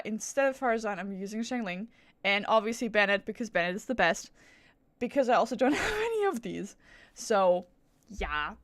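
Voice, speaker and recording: A woman's voice: medium pitch, a bit bright, dry recording. Rambling woman streamer, casual and chatty. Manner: rambling, self-interrupting; swears casually. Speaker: game streamer. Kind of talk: casual livestream gameplay chat.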